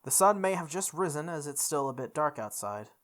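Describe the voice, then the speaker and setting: A let's play host reading a visual novel's story aloud in a deliberate way, with occasional stumbles. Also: medium pitch, lively intonation, a bit bright. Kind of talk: read-aloud narration of a game's story. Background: background music.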